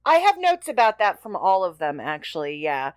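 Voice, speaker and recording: A woman's voice: lowish voice, wildly swooping pitch, moderate pace, clear, resonant tone, dry recording. A woman co-host talking casually and conversationally about a television show. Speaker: podcast co-host. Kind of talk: casual chat, TV episode discussion.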